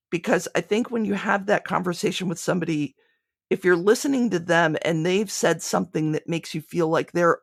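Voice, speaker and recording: A woman's voice: on the low side, creaky, no room sound, clean studio sound. A woman speaking conversationally as a podcast guest, an audio drama creator explaining things in interview answers.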